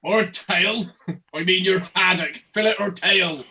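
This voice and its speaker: An actor as village fishmonger, bright forceful voice, wry banter, comedy radio play, faint noise and clicks. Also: mid-range voice, a little slow.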